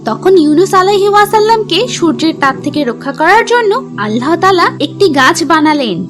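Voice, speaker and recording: A woman's voice: very high voice, lively intonation, fairly quick. A woman reading a scripted religious story for children in a teacherly manner, as a storyteller. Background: music.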